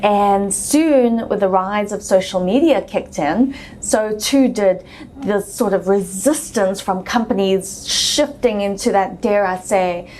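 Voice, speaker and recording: A woman's voice: medium pitch, takes it slowly. Woman, South African accent, thirties, chatty storyteller, faint background hiss. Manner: chatty, animated storytelling with frequent ums. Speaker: guest. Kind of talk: casual interview storytelling.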